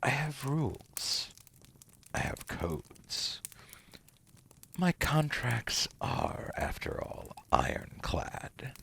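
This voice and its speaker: An actor playing a suave, condescending demon dealmaker in an ASMR roleplay, in a gravelly, breathy half-whisper with slow, smug, silky delivery. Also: male voice, mid-range voice, huge pitch swings, fairly bright tone, heavily acted. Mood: businesslike, sly. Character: seductive, condescending male demon dealmaker, suave otherworldly banker.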